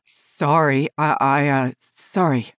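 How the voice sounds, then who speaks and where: A woman's voice: low voice, fairly even intonation, takes it slowly, clean tone, no echo, clean studio sound. A woman voicing a flustered frontier father who stammers apologies.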